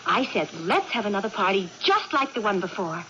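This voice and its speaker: An actress playing an excitable young woman with amnesia and swinging moods in a 1980s radio drama, with theatrical, childlike insistence. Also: medium pitch, fairly quick. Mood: elated, insistent. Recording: dull, noisy old radio broadcast.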